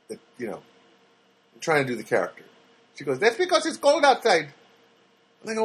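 Husky guy rambling through a story, sarcastic, pitch jumping all over. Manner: rambling, sarcastic, many fillers and restarts. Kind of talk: casual storytelling monologue.

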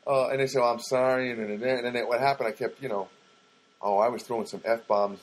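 Middle-aged man, husky voice, rambling wry storyteller, casual. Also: mid-range voice, fairly even intonation, fast talker, poor audio. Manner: rambling, wry, acts out quoted lines.

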